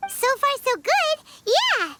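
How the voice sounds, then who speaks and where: A woman voicing a tiny, excitable, chatty fairy companion in a video game, with a squeaky childlike voice and expressive manner. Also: slow pace, over loud music, exaggerated voice. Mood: curious, bubbly. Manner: speaks of herself in the third person, expressive.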